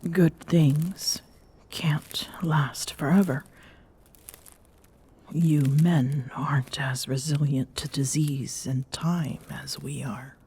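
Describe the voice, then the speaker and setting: A woman acts as a smitten Amazonian warrior queen in an intimate ASMR audio roleplay. Her voice is low and extremely slow, soft and pensive, often slipping toward a breathy whisper. She sounds tender and wistful yet guarded, with some background noise and ambience behind her. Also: fairly even intonation. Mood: grieving, tender.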